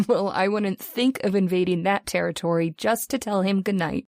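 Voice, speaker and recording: Female actor as young sweetheart, gentle, playful, affectionate, mid pitch, dry studio sound, radio drama. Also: normal speed, no room sound, lightly acted. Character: affectionate, lighthearted young fiancée. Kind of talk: old-time radio drama acting.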